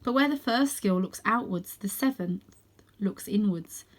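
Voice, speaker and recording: A woman's voice: medium pitch, animated, natural voice. Woman reading a solemn, wise old male cat. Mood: grave, teaching. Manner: measured, solemn, instructive.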